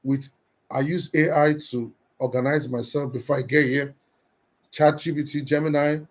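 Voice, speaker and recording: A man's voice: a little high, steady tone, measured pace. A man from Nigeria giving an earnest, encouraging motivational talk on a live stream, often repeating and restarting his words.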